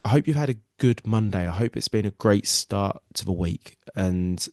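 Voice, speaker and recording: A man's voice: on the low side, expressive, normal speed, darkish tone, no room sound, clean studio sound. A man with a nasal cold, hosting a live weight loss webinar in an earnest, reassuring way and often repeating himself. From England. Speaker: weight loss coach and podcast host.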